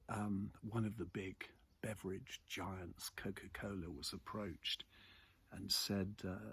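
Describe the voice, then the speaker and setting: Low-pitched British man chatting over background noise. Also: fairly bright tone. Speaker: vlogger giving a morning news commentary. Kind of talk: casual chat, off-the-cuff commentary on the news. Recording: clearly noisy ambient background.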